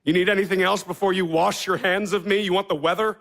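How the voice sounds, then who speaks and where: A high-voiced man delivers audio drama dialogue with biting sarcasm. He is abrasive and contemptuous, blunt to the point of cruelty, with wounded pride underneath. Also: steady tone, fast talker, creaky, fairly bright tone. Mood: bitter sarcasm.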